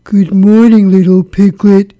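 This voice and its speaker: A woman voicing a gloomy, weary old donkey from a children's storybook, ponderous and mournful, with a sardonic, deadpan manner. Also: mid-range voice, unhurried, lightly acted. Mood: glum. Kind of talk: children's storybook character reading.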